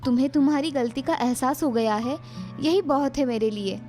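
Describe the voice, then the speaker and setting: Woman as gentle elderly stepmother, cartoon tale, high voice, forgiving and tender. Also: moderate pace, soft background music, close to an everyday voice.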